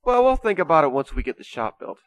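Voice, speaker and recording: A man's voice: on the high side, very animated, quick pace, a bit bright, no echo. A man playing a kindly, patient Saint Nicholas who reasons earnestly, in an amateur comedic radio play.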